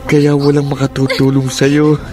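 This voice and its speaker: A man's voice: medium pitch, completely flat delivery, normal speed, natural voice. An actor playing a menacing, lecherous young male villain in a Filipino radio drama, taunting and gloating. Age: young adult. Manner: leering, taunting delivery with gloating chuckles. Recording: music behind the voice.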